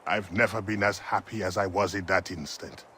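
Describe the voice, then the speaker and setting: An actor playing an earnest, emotive colonial settler and devoted husband in a video game. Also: male voice, deep voice, fairly even intonation, normal speed, sharp tone, a little hiss or hum. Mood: tender, awed.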